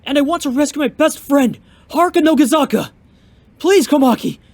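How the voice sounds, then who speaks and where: A man's voice: very high-pitched, expressive, a little fast, gravelly, a little mellow, dry recording, heavily acted. A man playing an earnest, determined young martial arts student in an audio drama, with an emphatic way of speaking. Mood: pleading.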